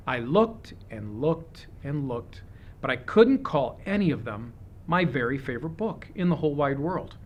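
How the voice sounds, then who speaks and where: A man reading a children's picture book aloud, with expressive, deliberate phrasing suited to young listeners. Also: mid-range voice. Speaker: storybook reader.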